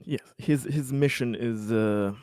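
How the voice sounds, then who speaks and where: A man taking part in a tabletop roleplaying discussion, speaking haltingly and repeating words as he searches for phrasing. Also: mid-range voice, darkish tone, smooth, no echo, studio quality. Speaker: roleplaying game player. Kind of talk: casual game discussion.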